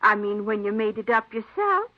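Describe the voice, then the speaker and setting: An actress playing a folksy, forgetful, kindly elderly aunt who rambles in an old radio drama. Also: female voice, mid-range voice, sing-song, normal speed, natural voice. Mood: proud, doting. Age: older. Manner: rambling, folksy rural phrasing. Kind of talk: 1950s radio drama acting. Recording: dull old radio recording.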